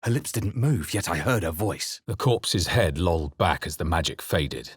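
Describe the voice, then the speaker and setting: Brooding male adventurer for a fantasy RPG: husky, expressive, grave and deliberate, recorded close and dry. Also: deep voice, dry recording. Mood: ominous narration. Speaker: player character in a fantasy video game. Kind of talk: dramatic game voice lines and first-person narration.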